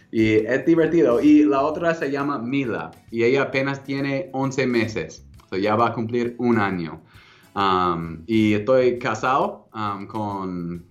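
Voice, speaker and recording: A man in his thirties with an American English accent, speaking Spanish as a second language as a guest in a casual interview, relaxed and hesitant, with frequent ums and restarts. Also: mid-range voice, highs cut off. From United States. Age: 30s. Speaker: guest entrepreneur.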